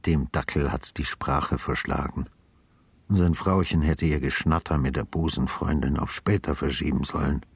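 An actor playing a brooding, sardonic retired homicide detective, narrating a literary radio drama with deliberate, inner-monologue delivery. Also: male voice, fairly even intonation, dry recording, exaggerated voice. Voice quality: husky, low.

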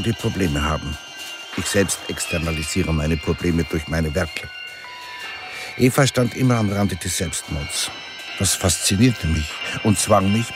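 An actor playing a brooding male artist, speaking measured, reflective lines in a literary radio drama. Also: low voice, close to an everyday voice. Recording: music under the voice. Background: music.

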